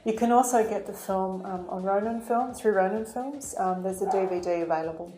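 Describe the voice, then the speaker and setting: A woman's voice: mid-range voice, brisk, reverberant, background noise. A woman who makes documentaries, answering interview questions in an earnest, thoughtful way with frequent ums. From Australia. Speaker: documentary filmmaker guest.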